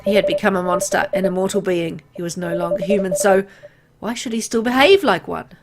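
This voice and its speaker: A woman's voice: mid-range voice, fairly quick, background noise. A woman reading a visual novel aloud as she plays, narrating the story expressively. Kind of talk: expressive read-aloud of game dialogue. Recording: loud music behind voice. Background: loud game music.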